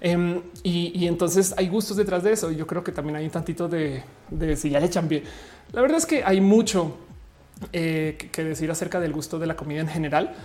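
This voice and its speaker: A woman's voice: fairly low pitch, brisk, mellow. A woman with a Mexican accent hosting a casual livestream, reading out viewer comments and answering them in colloquial, slangy speech.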